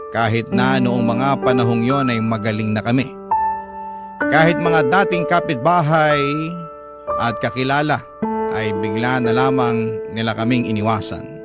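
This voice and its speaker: A man narrating a first-person radio drama letter in an earnest, confessional way. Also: mid-range voice. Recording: loud music bed under narration.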